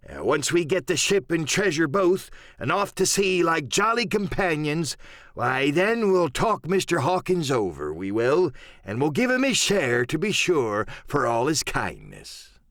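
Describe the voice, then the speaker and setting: Audiobook pirate sea cook: cunning, folksy, swaggering, highly animated delivery, close dry recording. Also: male voice, unhurried, no echo, full character voice. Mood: confident, menacing. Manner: rough sailor talk, folksy and scheming. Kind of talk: audiobook character performance.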